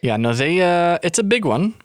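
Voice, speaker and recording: Playful man with a lively voice. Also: high voice, moderate pace, no echo, studio quality. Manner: joking, laughs often, playful. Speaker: podcast co-host. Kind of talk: casual couple chat.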